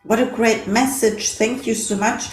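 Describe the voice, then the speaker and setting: A woman hosts a podcast, speaking slowly and warmly encouraging her guest. Her voice rings in a roomy, echoing space. She keeps an unhurried, conversational pace. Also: fairly high pitch, steady tone, slight background noise. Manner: encouraging, complimentary. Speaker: podcast host. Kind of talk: casual interview conversation. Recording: roomy echo.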